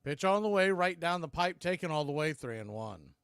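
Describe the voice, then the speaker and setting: A man's voice: fairly high pitch, animated, brisk, vocal fry, a bit bright, smooth, no room sound, clean studio sound. A man working as a baseball radio announcer, calling the game live in short, clipped phrases. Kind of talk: live baseball play-by-play commentary.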